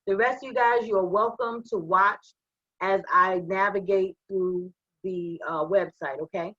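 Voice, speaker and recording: Hoarse woman trainer, husky, slightly muffled close mic, upbeat walkthrough voice. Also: medium pitch, fairly even intonation, a little slow, fairly bright tone, no room sound. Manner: upbeat, step-by-step, checks in with "okay?". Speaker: online trading trainer. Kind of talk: live screen-share software walkthrough.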